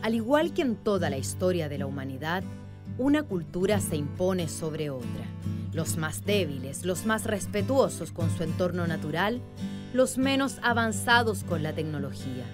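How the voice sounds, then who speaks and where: A woman's voice: lowish voice, big pitch swings, moderate pace, over music. A woman narrating a radio drama in a solemn, literary manner.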